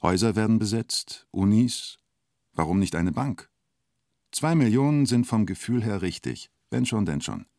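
An actor as the narrator of a radio play about a robber, speaking in terse, deliberate, clipped phrases. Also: male voice, fairly low pitch, sing-song, darkish tone, no echo, clean studio sound. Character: male narrator telling a bank robber's story tersely. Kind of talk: radio play narration, short present-tense sentences.

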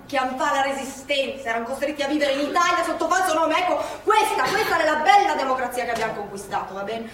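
An actress playing a proud, argumentative older former partisan from Milan, with a Milanese accent and emphatic, repetitive stage delivery. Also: female voice, high-pitched, a bit bright. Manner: emphatic, repetitive, prodding with tag questions. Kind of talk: theatrical stage dialogue in colloquial dialect-tinged Italian. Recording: echoey stage, distant mic, faint noise.

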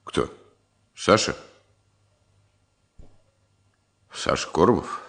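A man's voice: a little slow, fairly bright tone, close to an everyday voice. An actor in a Russian radio drama playing a fussing, exasperated professor who pleads and blusters, repeating his words. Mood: calmer. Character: exasperated, fussing professor, old friend of the engineer. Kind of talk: 1970 Soviet radio drama acting.

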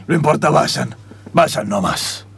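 A man's voice: mid-range voice, lively intonation, takes it slowly, creaky, a bit bright, full character voice. A man playing an authoritative, stingy boss in an improvised comic radio play. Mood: grudgingly impressed. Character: male boss, grudgingly impressed and stingy. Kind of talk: improvised comedic radio theatre acting.

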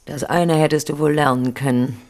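A woman's voice: deep voice, steady tone, normal speed, darkish tone, natural voice. An actress playing a cold, cunning older queen mother in a 1970s radio drama, talking with cool scorn. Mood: commanding. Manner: coolly commanding, scornful.

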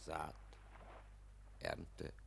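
An actor playing a slow, ominous, earthy gardener in a literary radio play, speaking gravelly and deliberately. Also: male voice, noisy background. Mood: somber, cryptic.

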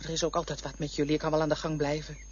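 A woman voicing a weary, overworked mother in a radio play, her talk bitter and hurried. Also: deep voice, little pitch movement, a little mellow, close to an everyday voice. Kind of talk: radio drama acting. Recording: dull lo-fi recording with faint hiss.

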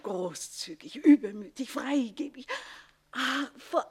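An actress playing a jealous, scheming middle-aged governess in a radio comedy, with mock-polite, biting, emphatic speech. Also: female voice, high-pitched, animated, takes it slowly, darkish tone, slightly rough, exaggerated voice. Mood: wry, coaxing. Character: scheming, sharp-tongued jealous woman, a governess in a castle. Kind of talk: theatrical German radio comedy acting.

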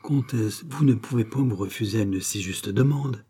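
A stern, measured king, read by a man in a low, husky voice. He questions calmly and with authority, the tone dark and warm.

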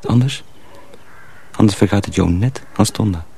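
A man playing a sly young miller's apprentice who poses as a simpleton, speaking confidingly and deliberately in a radio drama. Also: fairly low pitch, creaky, mellow. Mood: secretive, urgent. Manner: deliberate, hesitant, confiding, repeats himself. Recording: faint background noise.

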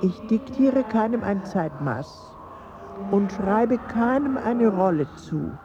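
An actress playing a solemn woman who presides over the dead in a German radio drama, speaking in a measured, ceremonial way. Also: medium pitch, animated, background noise. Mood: commanding. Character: solemn female guide presiding over dead witnesses in underworld. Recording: muffled, long reverb.

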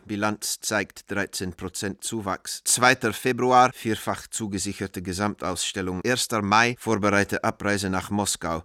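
An actor playing an art dealer who reads out his letters in a measured, deliberate way in a radio play. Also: lowish voice, bright, forceful tone, clean tone, no echo, clean studio sound.

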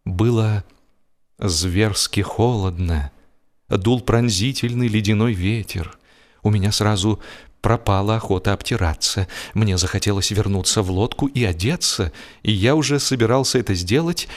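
A man narrating a humorous story as an audiobook reader, in an expressive, theatrical storytelling manner. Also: on the low side, unhurried.

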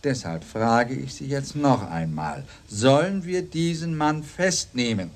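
An actor playing a stiff, formal police sergeant in a radio play, stern and officious. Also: male voice, mid-range voice, animated, slow.

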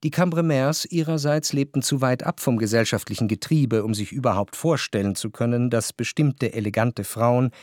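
A man playing a reflective, cultured narrator in a radio drama, speaking measured, articulate standard German. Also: mid-range voice, a little mellow, dry recording, studio quality, close to an everyday voice. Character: cultured first-person narrator, reflective society observer.

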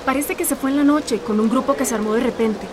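A woman's voice: high voice, quick pace, background noise, lightly acted. An actress in a radio drama playing a frank young woman. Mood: concerned, frank. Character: frank young woman bringing village news.

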